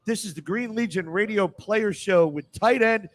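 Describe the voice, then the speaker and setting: A man with a notably high-pitched voice hosts a live sports radio show. He shifts between announcing and relaxed chat. The sound is dry, with very little room echo. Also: moderate pace. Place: casino venue in South Philadelphia.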